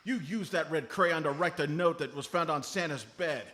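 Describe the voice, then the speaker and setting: A man's voice: on the high side, moderate pace, fairly bright tone, lightly acted. A man playing a comically overconfident amateur detective, declaiming accusations in a mock-dramatic way for a comedy radio play. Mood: triumphant, accusing.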